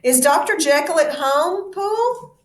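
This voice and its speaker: Inquisitive, courteous gentleman lawyer from a gothic storybook read by a woman, formal questions, distant mic, echoey. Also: high voice, animated, unhurried, voice pushed up. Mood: polite, probing. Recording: echoey room, distant mic, faint noise.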